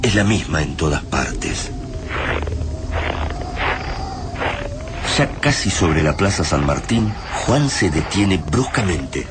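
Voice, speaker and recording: A man's voice: sits low, sing-song, measured pace, very noisy. A man with an Argentine accent giving solemn, suspenseful scripted narration for a radio drama. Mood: somber. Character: solemn, suspenseful male storyteller of a science fiction radio play. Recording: narration over prominent music.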